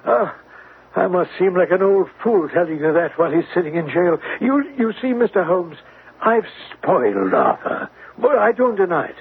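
An actor playing a distraught, remorseful elderly English banker in a radio drama, with an agitated, halting manner. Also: male voice, high voice, big pitch swings, slightly rough, heavily acted. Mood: rueful, agitated. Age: older. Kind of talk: classic radio drama acting. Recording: tinny, band-limited old radio, faint hiss.

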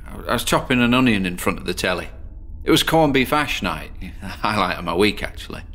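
A morbid British loner from a shabby bedsit, confessing in a horror audio drama. His voice is low, and he rambles wearily and hesitantly, full of self-deprecation. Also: sharp tone, heavily acted. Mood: weary, uneasy, then wry, rambling.

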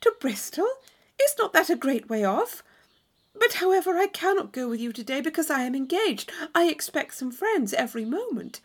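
Novel-reading role: woman playing a courteous, reluctant young lady, earnest, raised pitch, lively inflection. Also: normal speed. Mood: polite reluctance.

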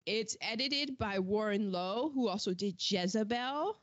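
A woman's voice: mid-range voice, animated, no echo. A woman co-hosts a podcast in easy, chatty talk, listing film credits and breaking off into asides. Her voice sits mid-height. The recording is dry and a bit dull. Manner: chatty, with false starts and quick asides. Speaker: podcast co-host. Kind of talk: casual chat reading film credits.

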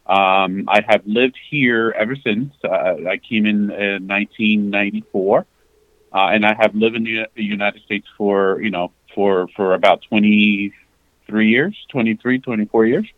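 A man in his forties from the Dominican Republic, a guest talking haltingly with many fillers and restarts. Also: fairly low pitch, fairly even intonation, dry recording. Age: 40s. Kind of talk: candid conversational interview. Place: parked outside a sports venue. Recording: dull lo-fi remote call.

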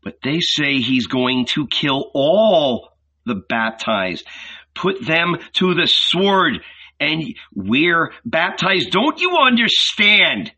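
A man voicing an anxious male fisherman who pleads in an urgent, hushed way. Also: on the high side, big pitch swings, slow pace, exaggerated voice. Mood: pleading.

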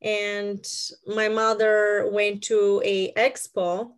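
A woman speaks as a podcast guest, pausing often with fillers. Her voice is smooth and clear and moves slowly. Her intonation stays level. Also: mid-range voice, little pitch movement. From Bulgaria. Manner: hesitant, with many fillers. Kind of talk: casual interview talk.

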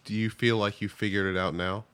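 A man's voice: lowish voice, steady tone, fairly quick, fairly bright tone, clear, resonant tone. A man hosting a podcast, talking casually, joking and supportive. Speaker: podcast host.

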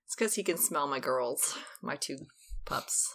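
Low-pitched young woman with a scratchy voice. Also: animated, unhurried, a bit bright, whispered. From Texas. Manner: candid, self-mocking, laughing. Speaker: podcast guest. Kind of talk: casual storytelling chat.

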